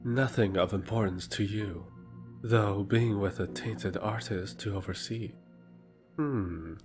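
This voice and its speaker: An actor playing a sly, condescending schemer with silky, menacing formality in an audio fantasy drama. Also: male voice, fairly low pitch, slow pace. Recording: music under the voice. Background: music.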